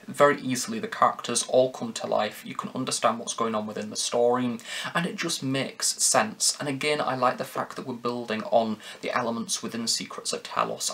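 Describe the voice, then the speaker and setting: A man giving review commentary on audio drama in an earnest, measured way. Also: mid-range voice, a bit bright, husky.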